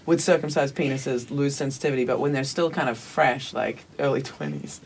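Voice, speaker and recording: A woman's voice: very deep voice, a little fast, creaky, a little hiss or hum. A woman co-hosting a live podcast in casual chat, giggly and rambling with many fillers. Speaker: podcast co-host. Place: live podcast recording before an audience.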